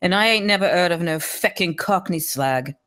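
Woman acting crude London card sharp, lowish female pitch, combative, slangy, film script read. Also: moderate pace, natural voice. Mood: brash, combative. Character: brash, crude Cockney-slagging male London gambler.

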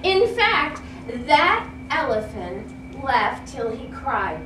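Older female storyteller: theatrical, high-pitched, very unhurried, classroom read-aloud from a distance. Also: sing-song. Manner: theatrical, emphatic. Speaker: retired reading teacher. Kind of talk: expressive children's read-aloud. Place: school classroom. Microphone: distant camera. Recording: roomy, noisy classroom, distant mic.

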